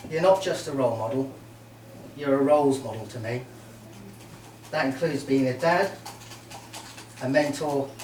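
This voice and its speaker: A middle-aged man from Merseyside giving a halting, joking best man's speech at a wedding reception. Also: mid-range voice. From Merseyside, England. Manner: warm, joking, halting with many ums. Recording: roomy hall, mic far off, faint noise.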